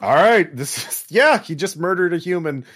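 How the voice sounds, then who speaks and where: A man running a tabletop horror game as game master, narrating casually and haltingly with sudden exclamations. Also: on the high side, animated, fairly bright tone, slightly rough.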